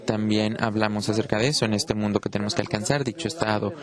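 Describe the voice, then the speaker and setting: A man, not a native Spanish speaker, giving an unscripted Kabbalah lesson with many pauses and restarts. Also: on the low side, level tone, a crowd in the background. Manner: halting, frequent "eh" pauses and restarts. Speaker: Kabbalah teacher. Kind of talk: unscripted lecture.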